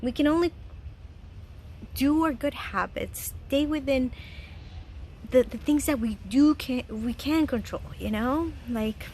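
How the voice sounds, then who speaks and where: A woman's voice: sits high, a little hiss or hum. A woman in her thirties from Chile, giving casual, earnest life advice in a home vlog, chatty and laughing often. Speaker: vlogger. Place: home garden.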